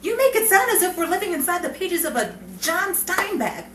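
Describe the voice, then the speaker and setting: A woman's voice: very high voice, very animated, fairly quick. A woman playing a sensible, stern elder sister who works as a bank clerk in a 1950s crime melodrama, with formal, indignant phrasing. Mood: scolding, exasperated. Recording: echoey, distant, noisy room recording.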